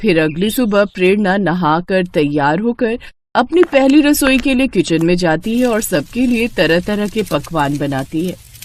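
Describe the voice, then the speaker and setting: A woman narrating a scripted animated family story. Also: medium pitch, a little slow.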